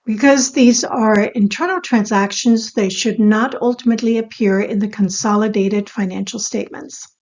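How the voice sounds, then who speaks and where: A woman giving a scripted explainer as an instructor, in a measured, step-by-step teaching manner. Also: mid-range voice, fairly even intonation, darkish tone, lo-fi sound. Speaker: accounting instructor.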